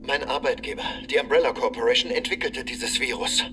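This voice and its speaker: An actor playing a desperate, embittered corporate scientist recording a solemn, urgent confession in an audio drama. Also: male voice, medium pitch, steady tone, brisk, far from the mic, over music, close to an everyday voice. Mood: grave, confessional.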